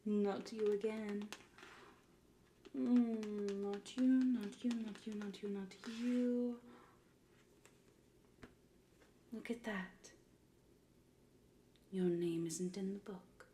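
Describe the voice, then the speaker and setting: A woman playing a mocking, menacing pirate queen in a soft-spoken ASMR roleplay, breathy and often whispering close to the mic, drawing out and repeating her words. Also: medium pitch, fairly even intonation, a little hiss or hum, close to an everyday voice. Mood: mock surprise. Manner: slow, drawn-out, repeating words, theatrical. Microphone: close ASMR microphone.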